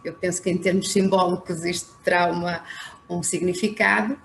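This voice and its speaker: Gravelly-voiced Portuguese woman moderating a panel. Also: fairly low pitch, steady tone, normal speed. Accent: European Portuguese. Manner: hesitant, many fillers. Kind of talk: speaker introduction. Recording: faint background noise.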